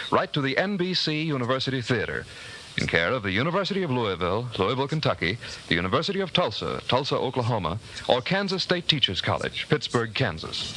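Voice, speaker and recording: A man with an American accent reading scripted 1940s radio announcements in a formal, polished, measured way. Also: medium pitch, pushed and bright, slight background noise. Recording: old 1940s radio broadcast, music behind.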